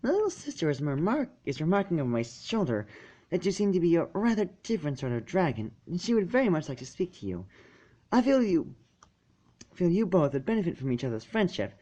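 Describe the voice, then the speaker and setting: A man voicing an ancient, kindly pony princess who speaks in a formal, measured and gently encouraging way, as in a storybook. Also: a little high, big pitch swings. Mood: gentle, friendly.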